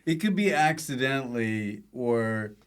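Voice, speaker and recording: A man's voice: mid-range voice, expressive, unhurried, a little hiss or hum. A man hosting a casual comedic interview podcast, giving short, playful prompts. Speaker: podcast host.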